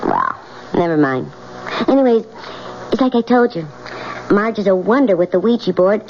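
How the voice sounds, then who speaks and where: A woman's voice: mid-range voice, wildly swooping pitch, normal speed, vocal fry, darkish tone. An American actress playing a brassy, scheming wife who impatiently coaxes and manipulates her husband in a 1970s radio drama. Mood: persuasive. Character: scheming, two-faced wife, sweetly coaxing her husband. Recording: dull, noisy old radio broadcast.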